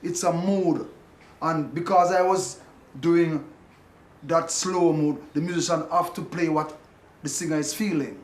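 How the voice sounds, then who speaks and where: A man in an interview, explaining music by vocalising bass and piano parts. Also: steady tone, measured pace. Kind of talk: reminiscing interview. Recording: echoey room with faint noise.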